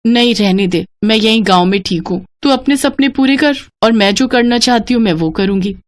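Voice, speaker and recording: Casting: humble young village friend played by a female storyteller, highish voice, frank and earnest, storybook style. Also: moderate pace, a little hiss or hum, natural voice. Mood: earnest, hurt. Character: modest, plain-spoken young village woman, loyal friend.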